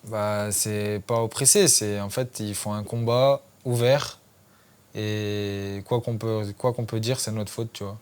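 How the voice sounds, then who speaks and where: A man's voice: on the low side, fairly even intonation, measured pace, clear, resonant tone. A man, a guest fighter, talking casually on a studio podcast in a loose, informal way, full of tag phrases. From France.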